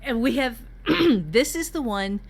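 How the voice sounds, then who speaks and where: A woman's voice: on the high side, animated, slow, creaky. An older woman, a writing teacher, talking casually on a podcast in a candid, emphatic, salty way.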